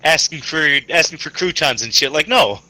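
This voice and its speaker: A man's voice: on the high side, expressive, moderate pace, pushed and bright, no echo, poor audio. A man joking as a tabletop game player, full of mock-indignant complaints. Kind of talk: casual joking banter during roleplay game.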